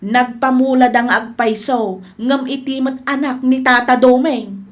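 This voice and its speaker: A woman's voice: sits high, steady tone, a little slow. A woman with an Ilocano Filipino accent playing an evasive, complaining village wife and farmhand in a comedy radio drama.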